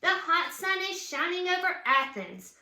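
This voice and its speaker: A woman's voice: very high voice, sing-song, normal speed, pushed and bright. A woman reads a children's picture book aloud with chatty asides, putting questions and emphatic cheers to young listeners. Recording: roomy, echoing room sound.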